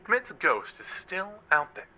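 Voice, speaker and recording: An actor playing an eager young college true-crime podcaster in a horror audio drama, a teasing, suspenseful storyteller. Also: male voice, fairly high pitch, very animated, measured pace, bright, forceful tone, exaggerated voice. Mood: playful, spooked. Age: young adult.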